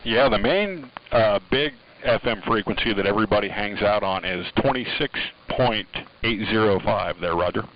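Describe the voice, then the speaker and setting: A man's voice: lowish voice, animated, moderate pace, gravelly, few pauses, slight background noise. A man talking casually over two-way radio, easygoing and agreeable, using operator lingo. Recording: dull band-limited radio transmission.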